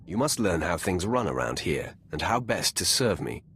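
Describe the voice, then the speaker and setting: Very deep, gravelly Sith lord. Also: male voice, big pitch swings, moderate pace, a bit bright, slight background noise, exaggerated voice. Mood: commanding. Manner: imperious, terse, self-assured. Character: commanding male Sith lord, cold, domineering master. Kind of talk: dramatic video game voice acting.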